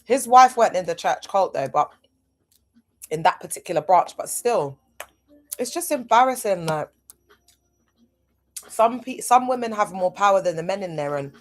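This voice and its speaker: A woman hosting a livestream, talking in a casual, animated way, full of rhetorical asides. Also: on the low side. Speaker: livestream host.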